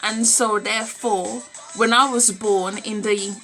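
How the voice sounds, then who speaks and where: A woman's voice: mid-range voice, steady tone, slow pace, fairly bright tone, soft background music. A British woman around thirty talking casually and bluntly to camera, emphatic and opinionated, recorded on a phone in a room at home. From United Kingdom. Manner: blunt, emphatic, repeats phrases for emphasis, swearing. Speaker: vlogger. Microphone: phone at arm's length.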